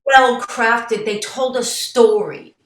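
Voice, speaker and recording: A middle-aged woman, a jazz singer and songwriter, telling her story as an interview guest in an animated way, quoting herself and others as she goes. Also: on the high side, takes it slowly, roomy sound. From United States. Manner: animated, tells stories with quoted asides and laughs.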